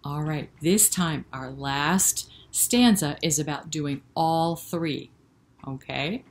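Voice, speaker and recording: Low-voiced woman leading children's story time. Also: takes it slowly. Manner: encouraging, addresses children directly with questions. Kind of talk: interactive read-aloud for young children, with singing.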